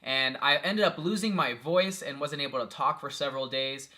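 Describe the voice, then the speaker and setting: A man's voice: medium pitch, normal speed, pushed and bright, recorded from a distance. A young man recovering from a cold, chatting casually to camera about his filming gear from a small home office, with lots of "you know" and "um". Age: young adult. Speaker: YouTube vlogger.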